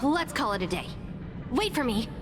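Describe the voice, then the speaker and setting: An actress playing a quirky, blunt young researcher who muses aloud in a video game. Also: female voice, on the high side, very animated, moderate pace. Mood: musing, intrigued. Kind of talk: scripted video game dialogue. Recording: game music behind voice. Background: video game music.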